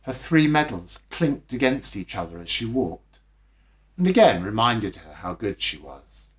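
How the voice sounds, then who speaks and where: A man reading a scripted bedtime story as narrator, with expressive, dramatic delivery. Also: lowish voice, unhurried. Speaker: storybook reader.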